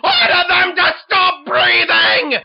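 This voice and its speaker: A tyrannical king, high and strained, shrieking his orders in an imperious, hysterical rant. It is dramatic storybook delivery, captured in dull, band-limited audio. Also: huge pitch swings, takes it slowly, shouting, a little hiss or hum, full character voice. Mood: hysterical rage.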